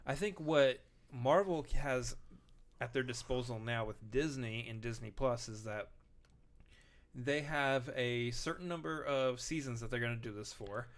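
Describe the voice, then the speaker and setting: Soft-spoken rambling man in casual chat. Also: mid-range voice, a little slow. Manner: rambling, lots of fillers and restarts; not boisterous, quieter delivery. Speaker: podcast co-host. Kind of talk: casual chat on a live stream. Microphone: close microphone.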